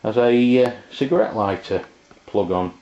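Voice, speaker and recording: A man giving a casual, halting, unscripted product review as he goes. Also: on the low side, dark tone. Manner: halting, hesitant delivery. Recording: dull lo-fi amateur video sound.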